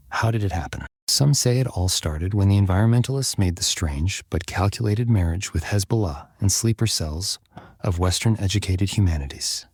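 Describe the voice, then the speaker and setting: Low, husky man narrating a thriller. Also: darkish tone, dry recording, clean studio sound. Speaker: audiobook narrator. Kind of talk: scripted dramatic fiction narration.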